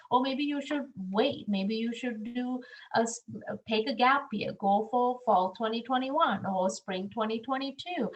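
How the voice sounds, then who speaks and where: A woman's voice: on the high side, dull audio. A middle-aged woman from Sri Lanka, a university admissions staff member, giving an earnest webinar presentation over a video call with frequent ums.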